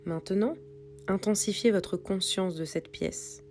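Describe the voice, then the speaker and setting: A woman reading a guided yoga nidra meditation in a calm, soothing, unhurried way with pauses. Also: mid-range voice, faint music behind. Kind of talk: guided meditation read from a script.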